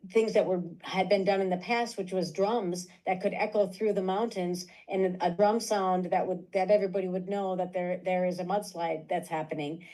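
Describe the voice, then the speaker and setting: A woman gives an academic talk over a video call, laying out ideas and backing them with field stories. Her voice is on the low side and mellow. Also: poor audio. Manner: explanatory, illustrates points with stories. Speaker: guest lecturer. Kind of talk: academic lecture and Q&A answer.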